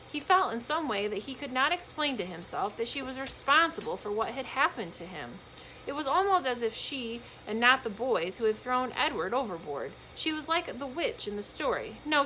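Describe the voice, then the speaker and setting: A woman's voice: on the high side, bright, forceful tone, a little hiss or hum, rough recording. A woman reading a children's storybook aloud expressively, with casual explanatory asides to young listeners.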